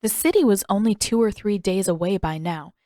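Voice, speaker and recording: A woman's voice: mid-range voice, brisk, dark tone, dry recording, clean studio sound. A woman reading scripted fiction as an audiobook narrator.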